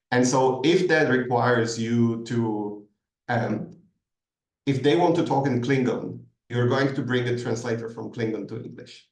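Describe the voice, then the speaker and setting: A man's voice: medium pitch, darkish tone. A man giving a considered masterclass answer, full of ums and restarts. He sits away from the mic, so his voice comes through distant. The room around him rings with echo. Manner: thoughtful, hesitant, many ums and restarts. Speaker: guest expert answering questions.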